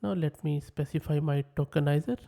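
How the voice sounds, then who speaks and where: A man who is a native Urdu speaker, presenting a software tutorial in a methodical way and narrating each step as he types. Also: a little high, brisk, gravelly. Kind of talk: screen-share software tutorial.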